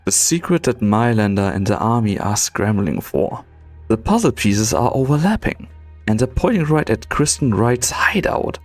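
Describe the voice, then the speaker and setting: A man playing a composed, serious tactician doctor, with measured, earnest delivery in a scripted fan drama. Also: on the low side, lively intonation, faint music behind. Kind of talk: scripted drama narration and dialogue.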